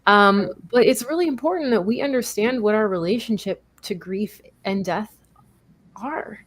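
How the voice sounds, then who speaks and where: A woman's voice: mid-range voice, normal speed. A woman hosting a live online talk show, earnest and explanatory, introducing her co-host and guest over a video call. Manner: earnest, explanatory, with some fillers and self-corrections.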